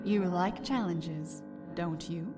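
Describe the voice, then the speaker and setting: Slow, imperious vampire goddess. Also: female voice, lowish voice, lively intonation. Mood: cold, demanding. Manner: languid, commanding, breaking into whispers. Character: imperious vampiric goddess, silky, menacing, demanding. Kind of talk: dark fantasy visual novel acting. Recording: music behind the voice.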